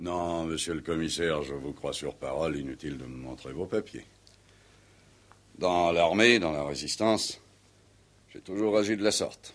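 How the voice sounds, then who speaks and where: A proud retired war-hero commander in a 1960s radio drama. He is older and deep-voiced, speaking with clipped, pompous authority and stern conviction. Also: moderate pace. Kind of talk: 1960s French radio play acting.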